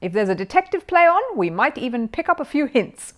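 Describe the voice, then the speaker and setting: A woman's voice: a little high, sing-song, brisk, pitched above the performer's own voice. A woman voicing a cheerful, chatty male television repairman in a children's story, with informal workman's talk. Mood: matey.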